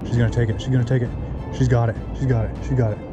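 A young man narrating his own fishing trip live at a pond, swinging between hushed, tense whispers and loud, excited shouts, recorded outdoors on a body-mounted action camera. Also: medium pitch, racing, muffled recording, over loud music. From Oregon. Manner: hushed and tense while stalking, then yelling with excitement. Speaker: fishing vlogger. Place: outdoors by a pond among bushes. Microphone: head or chest mounted action camera.

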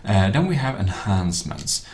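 A man's voice: deep voice, big pitch swings, moderate pace, a little mellow, slight background noise. A man narrating an explanatory tech tutorial, with slightly unidiomatic, non-native phrasing. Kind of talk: explanatory software tutorial voice-over.

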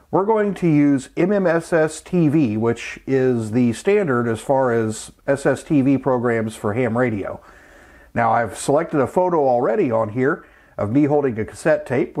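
A middle-aged man hosts a casual how-to video. His voice sits at an average male pitch. He explains things plainly, one step after another, like a hobbyist walking viewers through a setup. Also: darkish tone. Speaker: host of a vintage electronics channel, amateur radio hobbyist. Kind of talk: casual tutorial narration.

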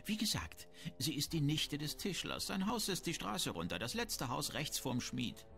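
A man's voice: on the high side, sing-song, moderate pace, creaky, a bit bright, full character voice. A man playing a shrewd, grumbling, self-important town merchant in a fantasy video game. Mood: businesslike. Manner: haggling, disdainful, self-important. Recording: music behind the voice.

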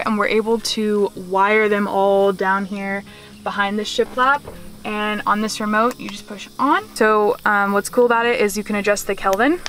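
Chatty girl in her 20s showing off her van, handheld cam, small space, music playing behind. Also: mid-range voice. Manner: chatty, lots of "like" and "um". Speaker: vlogger. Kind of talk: casual van tour walkthrough. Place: inside a small converted van. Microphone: handheld wide-angle camera.

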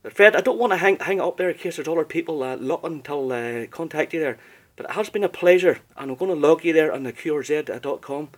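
A man's voice: a little high, lively intonation, quick pace. A man from Northern Ireland with a Northern Irish accent, chatting politely as an amateur radio operator, full of compliments. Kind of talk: casual ham radio contact.

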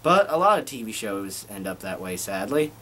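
A man's voice: lowish voice, very animated, fairly bright tone. A young man in a small bedroom giving a casual TV review vlog to a webcam, with loose restarts. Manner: unhurried, loose delivery with restarts. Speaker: video reviewer.

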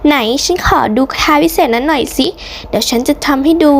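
A woman's voice: high voice, quick pace, a little hiss or hum. A woman voicing an anxious, bossy female schoolteacher in a cartoon story, emphatic and insistent. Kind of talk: hobby cartoon story dubbing.

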